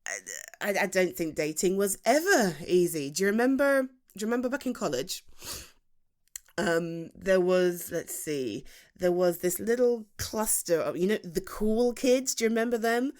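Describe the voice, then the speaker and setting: British woman, late thirties best friend acted by a woman, teasing, self-deprecating, lively swooping intonation, slow halting chat, intimate audio roleplay. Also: medium pitch. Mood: fond, wry, then amused reminiscing. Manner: halting, chatty, with sighs and chuckles. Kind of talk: ASMR roleplay acting, intimate conversational monologue.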